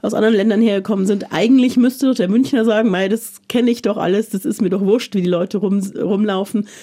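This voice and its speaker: A woman's voice: mid-range voice, steady tone, fast, dark tone. A woman interviewer asking long, conversational questions with small hesitations and restarts. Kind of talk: radio-style interview questions.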